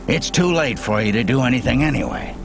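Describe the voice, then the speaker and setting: A muddled, paranoid engineer in a video game who thinks highly of himself. His gravelly voice swoops up and down as he rambles, over faint music. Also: very animated, brisk. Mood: muddled, cautionary. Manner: rambling, muddled, self-important. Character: eccentric male self-styled engineer, muddled, paranoid, self-important.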